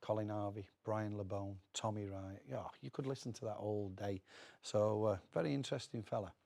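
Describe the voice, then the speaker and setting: Gravelly, low-voiced Northern English man. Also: fairly even intonation, a little fast, a little mellow. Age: middle-aged. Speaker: former footballer, studio guest. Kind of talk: casual chat, reminiscing.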